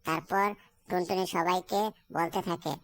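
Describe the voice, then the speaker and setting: A woman's voice: lowish voice, fairly even intonation, a little slow, natural voice. A woman voicing a teasing, caring young friend in a cartoon, talking casually and telling folk tales. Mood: storytelling.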